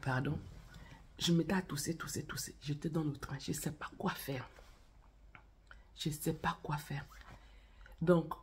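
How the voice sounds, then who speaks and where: A woman's voice: low voice, steady tone, measured pace, long pauses, darkish tone, slight background noise. A woman hosting a casual vlog, chatting directly to viewers and telling a story while she has a cold and a cough. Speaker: channel host. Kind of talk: casual spoken vlog on faith and marriage.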